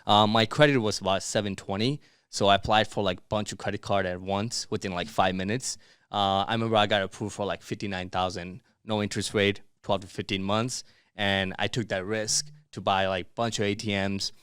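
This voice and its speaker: A man's voice: fairly low pitch, steady tone, fairly quick, fairly bright tone, clear, resonant tone, no echo, clean studio sound. A man from Miami by way of Bangladesh and Dubai, chatting casually as a podcast guest in an informal, slangy way. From born in Bangladesh, grew up in Dubai and Miami. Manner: informal and chatty, peppered with slang like bro and dude. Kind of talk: casual interview chat.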